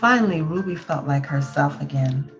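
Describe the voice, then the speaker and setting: A woman's voice: low voice, lively intonation, moderate pace. A woman storyteller reading a children's picture book aloud, with a slightly dull recording. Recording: dull lo-fi audio with music. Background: faint music.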